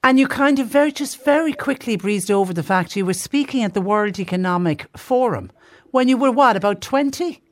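A man's voice: sits high, expressive, a little fast, a bit bright, no echo. A man hosting a live radio talk show, effusive and rambling, with many restarts. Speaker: radio host.

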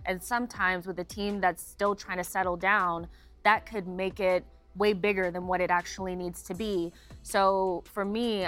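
A woman giving casual soccer commentary as a panelist, with measured, deliberate emphasis. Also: on the low side, a bit bright. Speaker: sports show panelist.

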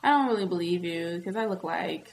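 A woman's voice: fairly low pitch, a little fast, vocal fry. Frank American Southern woman chatting, noisy background. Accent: American South, blended. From Nashville, Tennessee, US. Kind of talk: casual candid chat.

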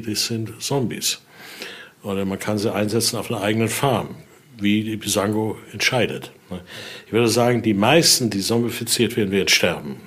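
A man's voice: lowish voice, fairly bright tone. A man giving an informal spoken explanation as an expert guide, often adding short tag questions to check agreement. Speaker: expert guide showing a collection.

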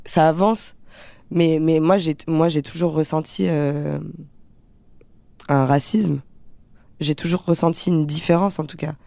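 A young actress from France, talking earnestly in an interview with many fillers and restarts. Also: female voice, low-pitched, fairly even intonation, a little slow, vocal fry, long pauses, warm tone, no room sound. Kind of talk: casual interview talk.

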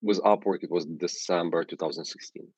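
Deep-voiced Ukrainian-accented man. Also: fairly even intonation, fairly quick, darkish tone, breathy edge, dry recording. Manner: frequent restarts and repeated words. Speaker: guest, agency founder. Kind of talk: casual podcast interview. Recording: dull, dry sound.

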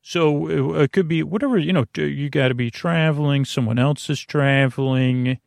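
Creaky, meandering man hosting a sleep podcast. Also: fairly high pitch, normal speed, darkish tone, dry recording, studio quality. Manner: meandering, stammering, goes off on tangents; lulling, soothing. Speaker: host of a bedtime sleep podcast. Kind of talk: rambling bedtime monologue.

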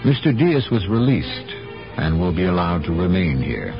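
A man's voice: sits low, sing-song, normal speed, music in the background. A man playing a solemn, moralizing host, delivering dramatic scripted narration for an old radio mystery show. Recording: dull old radio broadcast recording.

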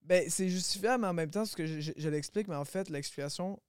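Podcast guest: man, relaxed slangy chat, fairly high smooth voice, dry close sound. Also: fast talker, dry recording. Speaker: rapper guest.

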